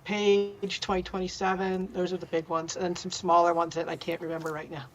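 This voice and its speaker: A woman's voice: fairly low pitch, flat delivery, fairly quick, highs cut off, slight background noise. A woman guest, a new game master, answering hesitantly with fillers. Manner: hesitant, giggly. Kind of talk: casual chat.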